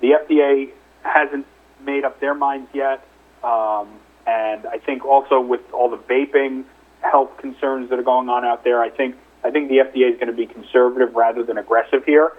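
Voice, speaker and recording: A man's voice: mid-range voice, fairly even intonation, moderate pace. A male chief executive answering questions on an investor call over the telephone, explaining things in a conversational, hedging way. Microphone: telephone conference call. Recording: narrow, muffled telephone line.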